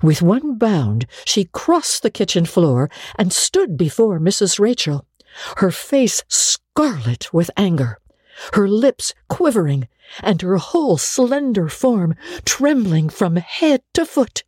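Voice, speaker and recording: A woman narrating classic literature as a dramatic audiobook, in a theatrical, deliberate and expressive way. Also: medium pitch, breathy edge, no room sound, studio quality.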